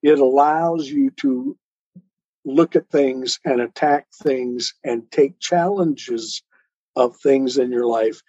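Male interview guest, mellow and slightly husky, earnest and measured explainer, dry but dull recording. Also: mid-range voice, lively intonation, dry recording. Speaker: interview guest, author and speaker. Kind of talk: earnest explanatory interview talk on self-help and faith.

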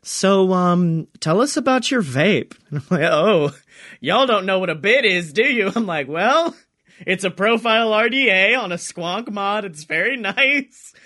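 A man co-hosting a podcast, chatting casually and comedically in an animated, playful, sweary way. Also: high voice, a little slow. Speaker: podcast co-host.

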